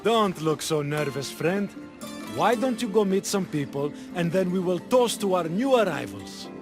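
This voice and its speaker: Genial, higher-voiced male party host. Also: expressive, moderate pace. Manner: genial, sociable. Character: smooth, welcoming male host of a devotees' symposium. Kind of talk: video game cutscene acting. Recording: music behind the voice.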